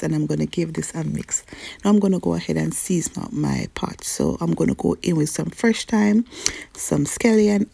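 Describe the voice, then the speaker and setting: A young woman narrating her home cooking, talking viewers through each step in an easygoing, chatty way. Her voice is low-toned and gravelly, with a husky edge, recorded close and dry. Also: dark tone, dry recording. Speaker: cooking channel host. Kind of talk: cook-along voice-over narration. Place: home kitchen.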